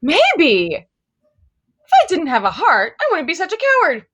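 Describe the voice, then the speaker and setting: Cowardly lion, female actor: high, very animated delivery, plaintive and sorry for himself, children's fantasy read. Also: a little slow, heavily acted. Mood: miserable. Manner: plaintive, self-pitying. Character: cowardly, melancholy lion lamenting his lack of courage. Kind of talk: live table read of a children's fantasy story.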